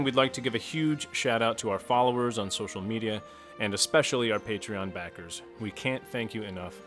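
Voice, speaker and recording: A man's voice: mid-range voice, a bit bright. A man reading a scripted podcast outro as one of the show's regulars. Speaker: player and show announcer.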